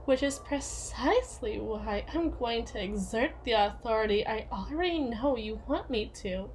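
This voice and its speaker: A woman playing a domineering, conniving young woman, possessive and jealous, in a theatrical, sardonic ASMR roleplay monologue with haughty, drawn-out emphasis. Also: fairly high pitch, a little hiss or hum. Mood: commanding, possessive.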